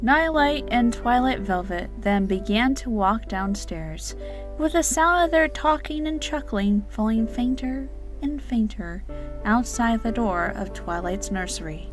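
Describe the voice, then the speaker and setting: A woman's voice: a little high, lively intonation, measured pace. A woman narrator reading a children's-style story as a scripted dramatic reading. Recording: music under the voice.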